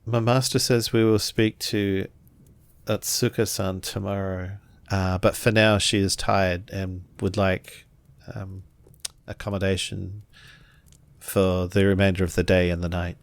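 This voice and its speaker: Samurai retainer, male player acting, tabletop game: lowish voice, dry close sound, courteous, dutiful, slightly hesitant. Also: level tone, a little slow, no echo. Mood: dutiful, polite. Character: dutiful samurai retainer speaking for his master.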